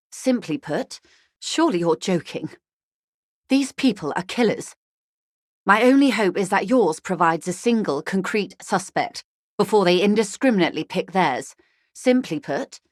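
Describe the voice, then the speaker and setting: A woman voicing a composed, shrewd corporate negotiator in a video game, speaking in a measured, formal, articulate way with frank gravity. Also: on the low side, no echo, clean studio sound. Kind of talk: scripted video game character dialogue.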